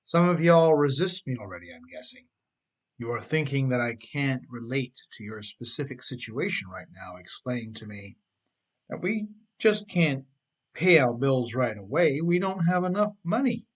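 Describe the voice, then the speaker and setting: A man's voice: mid-range voice, big pitch swings, normal speed, slightly rough. A man reading his own book aloud as a scripted audiobook narration, conversational in manner, with emphatic stresses and rhetorical questions.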